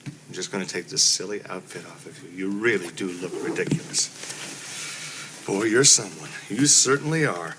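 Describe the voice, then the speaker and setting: An actor playing a self-pitying husband who swings from whining to shouting in a comedy scene. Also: male voice, low-pitched, normal speed, noisy background, lightly acted. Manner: melodramatic, whining then shouting. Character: self-pitying, explosive middle-aged husband.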